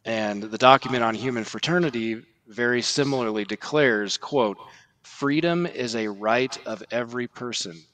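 A man giving formal, measured religious commentary on a podcast, quoting documents and stressing points as he lists them. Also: medium pitch, fairly bright tone, no room sound, low-quality recording.

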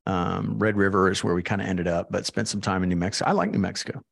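A man's voice: low voice, little pitch movement, quick pace, vocal fry, breathy edge. A man hosting a podcast, chatting casually and informally with a guest like an old friend. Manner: informal, chummy. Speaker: podcast host interviewing a guest.

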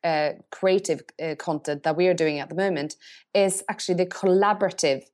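A woman from Norway speaking English, her voice sitting somewhat low. She answers in a considered way, pausing and restarting as she searches for the right words. She sounds middle-aged, like a guest explaining her work in a podcast interview. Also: steady tone, darkish tone. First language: Norwegian. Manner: thoughtful, pauses to search for words and rephrases. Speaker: agency CEO, guest.

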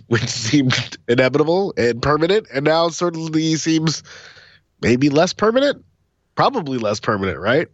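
A man with a slight cold hosting a podcast, talking casually with a lively, bouncing pitch. His voice sits mid-range and is recorded dry and close, with no room sound. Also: unhurried, no echo, highs cut off. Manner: relaxed, conversational, lively intonation. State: has a cold, rough voice. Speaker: podcast co-host. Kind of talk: casual chat.

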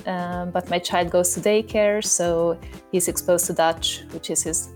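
A woman whose first language is Hungarian, an educational consultant, introducing herself calmly over an online call. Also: on the low side, a little slow, soft background music. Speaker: educational consultant, guest panellist. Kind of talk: casual self-introduction.

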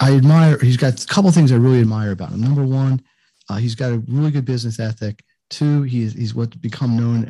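An older man hosts a conversational podcast interview with a mellow, slightly husky voice at a middling pitch. He is effusive and likes to repeat words for emphasis. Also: low-quality recording. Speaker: podcast host.

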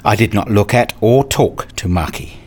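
A man's voice: on the low side, normal speed, a little mellow, slightly rough. Brooding father in a radio drama, played by a man. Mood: subdued, focused. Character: Rob, brooding, wounded, self-justifying father. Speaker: narrator and lead actor in a radio play. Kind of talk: radio play narration and dialogue.

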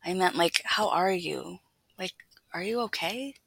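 A woman voicing a young man who gently presses a friend to open up, speaking softly. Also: fairly low pitch, unhurried, vocal fry, slightly rough, no room sound, full character voice. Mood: gentle concern. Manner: soft, probing.

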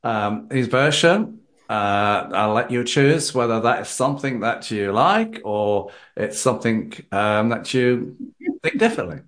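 Male interviewer with very lively intonation. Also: mid-range voice, measured pace. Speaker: interviewer, host. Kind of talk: conversational interview questioning.

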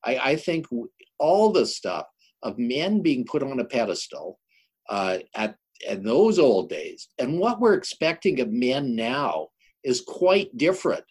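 Show host, older male. Raspy tone, animated pitch, deliberate and emphatic when presenting and questioning. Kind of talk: introducing and interviewing a guest.

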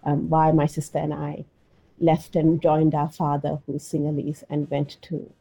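A woman's voice: sits low, monotone, measured pace, dark tone, breathy edge, rough recording. A middle-aged woman from Sri Lanka, an anthropologist answering interview questions with frequent restarts and fillers. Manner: hesitant, many restarts and fillers. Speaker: anthropologist guest.

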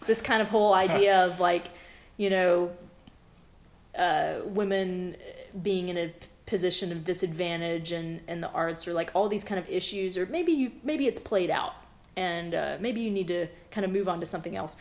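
Frank, chatty woman, lowish voice. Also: moderate pace. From Southern United States (Memphis, South Georgia). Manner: chatty and frank, laughs at her own jokes. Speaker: guest, artist. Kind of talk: conversational interview.

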